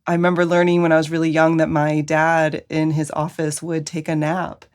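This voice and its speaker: A woman co-hosting a podcast, telling a personal story in a gentle, hesitant way with pauses. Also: sits low, flat delivery. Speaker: podcast co-host. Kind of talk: reflective conversational storytelling.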